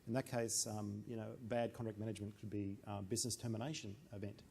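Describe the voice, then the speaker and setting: A man answers a panel question at a quick pace, with a gravelly voice. He hesitates and restarts often, and faint noise sits behind him. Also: fairly low pitch, flat delivery, dark tone. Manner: hesitant, many ums and restarts. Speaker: panelist, business energy adviser. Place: conference room.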